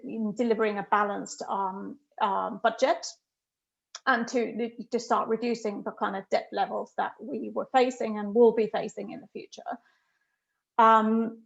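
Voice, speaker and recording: A woman's voice: fairly high pitch, gravelly, highs cut off. Emphatic middle-aged woman from Finland. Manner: emphatic and thoughtful, many "you know" fillers. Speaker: guest economist. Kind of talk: answering questions in an online talk.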